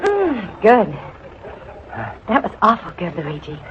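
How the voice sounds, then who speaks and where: A woman's voice: mid-range voice, huge pitch swings, slow. An actress playing a gushing young woman who pretends to be posh, speaking in a breathless 1940s radio comedy style. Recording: dull old radio broadcast with studio audience and noise.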